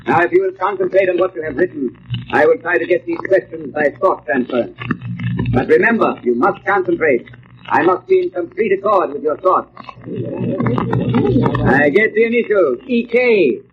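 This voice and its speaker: A theatrical radio-drama mentalist with a high voice. He is formal, deliberate and commanding. The recording is tinny and narrow, with clear noise over it. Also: expressive. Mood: grave, commanding. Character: grave, theatrical stage mentalist addressing his audience. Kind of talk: 1940s radio acting.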